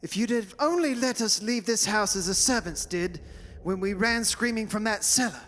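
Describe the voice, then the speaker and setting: A man playing an earnest, anxious young gentleman in a radio drama, with old-fashioned politeness. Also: high-pitched, moderate pace. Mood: reproachful. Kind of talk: old-time radio horror drama acting. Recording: stage recording with faint hiss.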